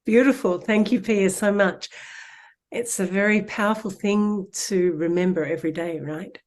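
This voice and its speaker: A woman hosting an unscripted conversational interview in an affirming, gently reflective way. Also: medium pitch, moderate pace. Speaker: interview host.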